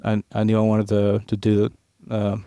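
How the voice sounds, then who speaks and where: A man from Tennessee in his late thirties speaks in a fairly low, flat-toned voice that sounds smooth and clean. He tells his story in a relaxed way, with frequent chuckles and restarts. The recording is dry and close. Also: normal speed, dry recording. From West Tennessee, USA. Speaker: police aviation unit leader, guest. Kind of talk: casual podcast interview. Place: hangar office.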